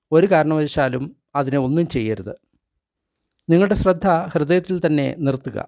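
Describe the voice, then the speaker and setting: A man leads a guided meditation in a slightly high voice. He reads measured instructions in short phrases, leaving pauses between them. The sound is dry and close. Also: darkish tone, no echo. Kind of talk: guided meditation, read from a translated script.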